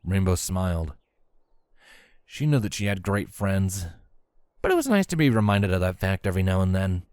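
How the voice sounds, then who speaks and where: Low-pitched man narrating a story. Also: sing-song, moderate pace, a little mellow, smooth, no echo, studio quality.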